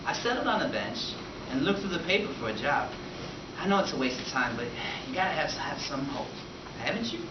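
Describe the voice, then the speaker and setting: A man's voice: on the high side, very animated, fairly quick. A man voicing a weary, down-on-his-luck working-class father in a plain, downbeat storybook read-aloud. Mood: weary, wistful. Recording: distant echoey room, noisy, dull.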